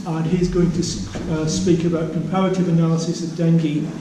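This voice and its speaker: A man chairs a conference session and introduces a speaker in a hesitant, formal way. His pitch is a little high and stays very even. The room is extremely echoey, with loud noise behind him. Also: brisk.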